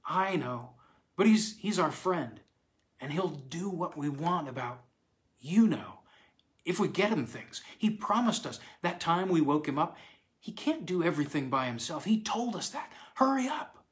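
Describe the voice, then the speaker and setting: Guy doing a little girl, high and pleading, kinda whispery and fierce. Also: animated, measured pace. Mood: urgent. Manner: whispery, pleading, tearful at times. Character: young girl, secretive and fierce.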